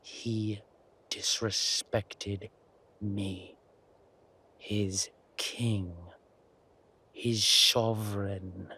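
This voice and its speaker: An actor playing a smug, menacing villain king in an intimate audio roleplay, speaking deliberately and theatrically to a beloved consort. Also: lowish voice, level tone, bright, forceful tone, exaggerated voice. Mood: cold menace. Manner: slow, deliberate, theatrical, breathy asides.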